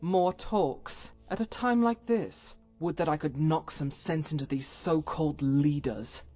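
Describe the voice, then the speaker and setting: A woman's voice: deep voice, a little slow, a little mellow, soft background music. A woman playing a devout, stern warrior companion in a fantasy game, speaking solemnly in formal, archaic phrases. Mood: exasperated.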